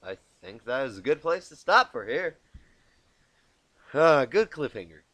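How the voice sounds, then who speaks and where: Man reading a story aloud, lively intonation. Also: medium pitch, unhurried, no echo. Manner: informal, self-correcting. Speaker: amateur fan fiction narrator.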